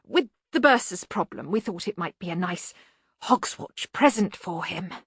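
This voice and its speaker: A woman acts out a sensible male academic wizard in a comic audiobook. He picks his words diplomatically, hesitant and deferential to his superior. The voice is crisp and bright, recorded dry. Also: lowish voice, animated, no echo, exaggerated voice. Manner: halting, deferential, resigned. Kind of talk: acted audiobook dialogue.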